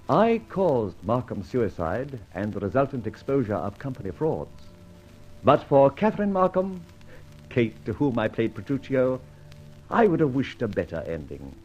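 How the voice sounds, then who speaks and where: An urbane gentleman rogue in an old radio detective play. His delivery swoops up and down, wry and theatrical, with whimsical, mock-formal phrasing. The vintage recording is dull. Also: medium pitch. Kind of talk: old-time radio drama acting and first-person narration.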